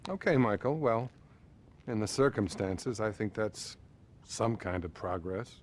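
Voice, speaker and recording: An actor playing a patronizing male therapist, measured and placating, in a naturalistic video game style. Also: on the low side, a little mellow, slight background noise, close to an everyday voice. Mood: encouraging.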